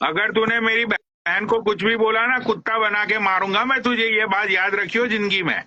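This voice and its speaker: A man's voice: sits high, steady tone, moderate pace, pushed and bright, muffled recording. A man hosting a live stream, teasing his guest with crude, mock-respectful banter and frequent swearing.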